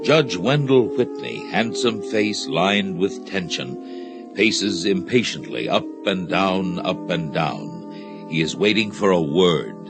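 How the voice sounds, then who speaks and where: A grave radio-play storyteller. He speaks slowly in a low, gravelly voice, solemn and fatalistic, over loud music. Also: animated, exaggerated voice. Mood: ominous. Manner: solemn, deliberate. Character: grave, fatalistic male storyteller of a suspense tale. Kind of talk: dramatic scripted radio narration.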